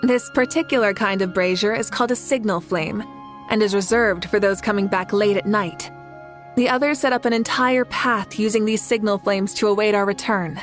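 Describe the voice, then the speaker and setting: An actress playing a kindly, composed young warrior leader in a video game, measured and reassuring. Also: female voice, medium pitch, natural voice. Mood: solemn, tender. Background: game music.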